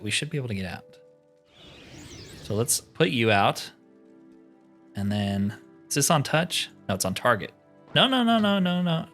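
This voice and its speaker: Musing male gamer with lively pitch. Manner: musing, talks to himself. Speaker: gamer narrating a role-play playthrough. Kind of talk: casual gameplay commentary, thinking aloud.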